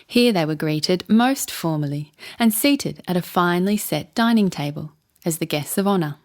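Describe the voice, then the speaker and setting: A woman narrating a children's bedtime story in an expressive, measured storytelling manner. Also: on the low side.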